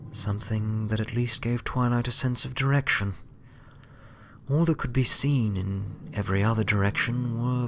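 A man's voice: on the low side, gravelly, a little hiss or hum. A man reading a scripted story as an audiobook narrator. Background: music.

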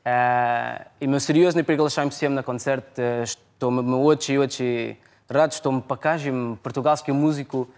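Brief: thirties Portuguese man, mid pitch, halting second-language interview speech, cheerful. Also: fairly even intonation, a little mellow. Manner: halting, broken grammar, cheerful. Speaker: guest musician. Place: television studio, indoors.

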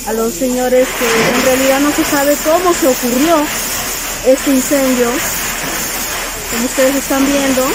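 Peruvian lady doing a street news report on her phone, repeats stuff a lot, lots of noise behind. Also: sits high. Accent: Peruvian Spanish. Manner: filler-heavy, repeats herself. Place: outdoors at a house fire scene. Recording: loud background noise.

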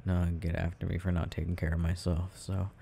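A man playing a sleepy, tender boyfriend in a soft ASMR roleplay, murmuring to himself as he games and sometimes dropping into a whisper. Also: deep voice, monotone, brisk, creaky, a little hiss or hum. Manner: murmuring, dropping into whispers, hesitant self-talk. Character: sleepy, tender, sensitive boyfriend rambling while gaming. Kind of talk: soft intimate ASMR roleplay, unhurried rambling.

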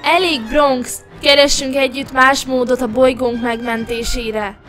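A woman voicing a remorseful young alien princess in an animated fairy tale, earnest, emphatic and pleading. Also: high-pitched, soft background music. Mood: urgent, pleading.

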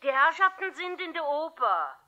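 An actress playing a plucky, gruff, plump household cook, blunt and folksy, in a 1960s German radio play. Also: female voice, sits high, big pitch swings, moderate pace, sharp tone, exaggerated voice. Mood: brusque. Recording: dull vintage radio play sound.

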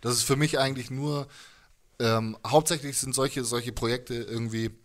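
A man's voice: medium pitch, fairly even intonation, normal speed. A man in his thirties chats as a musician guest in an interview, colloquial and self-deprecating, speaking into a close handheld mic. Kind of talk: casual interview chat. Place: small music room indoors. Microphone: handheld microphone held close.

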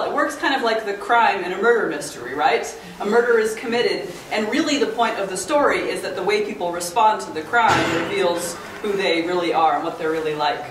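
A woman in her thirties giving an explanatory, engaged conference talk as a literary scholar. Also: medium pitch. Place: hotel conference room. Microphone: camera at a distance. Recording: echoey room, distant mic, faint noise.

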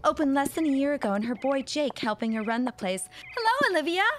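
Woman acting a lively, high-voiced young widow. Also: quick pace, slight background noise. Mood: chatty. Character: restless young widow, genteel, wistful and wry. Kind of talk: period audio drama acting.

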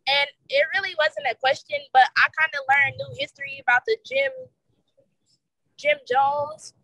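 A woman's voice: sits high, sing-song, normal speed, pushed and bright, dry recording, low-quality recording. A young woman in her late teens, a student making an informal comment on a video call. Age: late teens, young adult. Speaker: high school student audience member.

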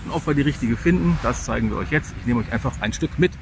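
A man's voice: mid-range voice, animated, fast talker, noisy background. A man, around his forties, talking informally and a little hesitantly as a vlogger explaining his work. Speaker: vlogger and audio drama producer. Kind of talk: casual vlog explanation.

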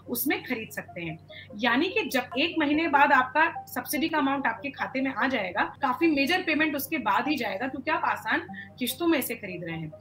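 High-pitched young Indian woman explaining. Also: a bit bright, a little hiss or hum, low-quality recording. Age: young adult. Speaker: solar company representative, expert guest. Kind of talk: informative explanation with Hindi-English mix. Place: indoor furnished room.

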